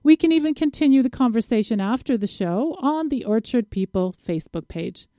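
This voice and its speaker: A woman's voice: on the high side, expressive, a little fast, mellow, dry recording, studio quality. A woman hosting a radio show, introducing topics and interviewing a guest.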